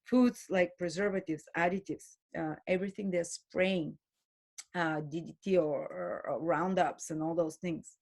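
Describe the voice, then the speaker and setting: A woman giving an earnest spoken lecture as a spiritual teacher, pausing and restarting her phrases often. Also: lowish voice, steady tone, darkish tone. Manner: earnest, with frequent restarts and hesitations.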